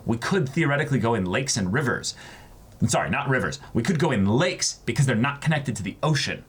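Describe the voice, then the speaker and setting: A man's voice: mid-range voice, animated, fairly quick, a bit bright, slightly rough. A man co-hosting a fan channel, chatting casually and picking over fine points with emphatic repetition. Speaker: co-host of an anime fan channel.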